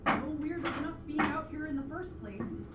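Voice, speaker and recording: A woman's voice: high-pitched, normal speed, lightly acted. A woman playing a reproachful, superstitious pregnant wife in a live radio play. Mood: bitter, reproachful. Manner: reproachful, sardonic. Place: live performance venue, recorded from off. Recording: distant, echoey, very noisy live stage recording.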